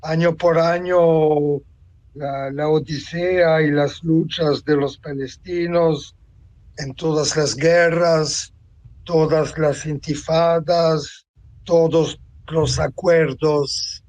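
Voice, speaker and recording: Slow-speaking man in his seventies. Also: fairly high pitch, fairly even intonation, a little mellow, poor audio. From Tunisia. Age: 70s. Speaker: interview guest, author and translator. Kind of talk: reflective personal account in an interview.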